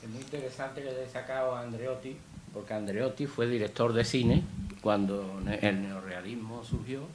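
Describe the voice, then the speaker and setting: A man's voice: medium pitch, steady tone, normal speed, a little mellow, a little hiss or hum, low-quality recording. A man speaking at a public colloquium, making emphatic remarks. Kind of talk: colloquium discussion.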